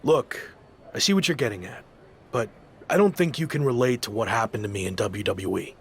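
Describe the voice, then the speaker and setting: An actor playing a reluctant, headstrong pro wrestler, grudging and sardonic, in a video game documentary cutscene. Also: male voice, fairly low pitch, fairly quick, slight background noise, natural voice.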